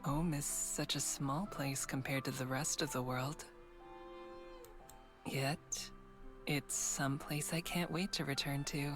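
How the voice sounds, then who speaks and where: A gentle traveler in a visual novel, speaking softly and wistfully. The voice sits deep and low, with quiet music underneath. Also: female voice, fairly even intonation, takes it slowly.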